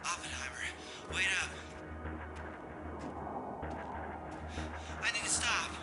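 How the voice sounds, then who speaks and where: An actor playing a weary, exasperated soldier in a video game cutscene, complaining and sarcastic. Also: male voice, high-pitched, lively intonation, brisk. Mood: strained, annoyed. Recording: echoey, under very loud music.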